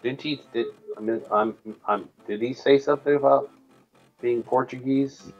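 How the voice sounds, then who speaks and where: A man co-hosting a live call-in show, asking questions in a halting way, stumbling and repeating himself. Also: medium pitch, fairly even intonation, a little mellow, highs cut off, faint music behind. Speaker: show co-host. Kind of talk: casual call-in banter.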